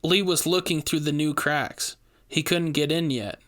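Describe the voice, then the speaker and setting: A man's voice: a little high, a little slow, a bit bright. A man giving a scripted first-person narration of a horror story, as its narrator.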